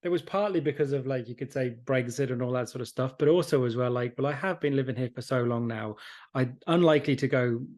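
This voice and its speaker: A man's voice: medium pitch, quick pace, a little mellow. A man in his forties whose accent blends English and Irish, hosting a chat and rambling with many "you know"s. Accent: mix of English and Irish. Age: 40s. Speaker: podcast host. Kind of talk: casual interview chat.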